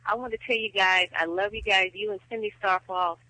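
A man's voice: high-pitched, fairly even intonation, brisk, pushed and bright, no echo. A man with a Texan accent calling in to a show by telephone, chatting in an effusive, admiring way. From Texas, United States. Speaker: caller. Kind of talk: casual phone-in chat. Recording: thin, dry telephone line.

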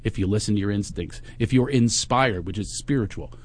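A man's voice: fairly low pitch, brisk. A man from Philadelphia with a Philadelphia accent, hosting a podcast in a reflective, motivational way. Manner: reflective, motivational, spiritual encouragement. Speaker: podcast host. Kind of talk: casual podcast talk.

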